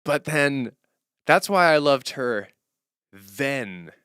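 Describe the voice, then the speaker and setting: A man chatting casually and unscripted as a streamer and songwriter, animated and rambling as he thinks aloud and interrupts himself. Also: mid-range voice, drawn-out words, clean tone, dry recording, studio quality. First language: English as main language, German heard at home.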